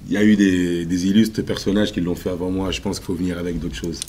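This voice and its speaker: A man answering questions as a musician guest in a TV interview, confident and emphatic, with frequent filler pauses. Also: sits low, fairly even intonation, darkish tone, breathy edge. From Congo.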